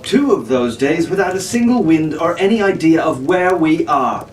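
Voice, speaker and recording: A man's voice: a little high, animated, normal speed, pitched above the performer's own voice, lightly acted. A man voicing a proper, haughty teenage nobleman's son in a storybook reading. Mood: irritated. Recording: roomy, distant mic with faint noise.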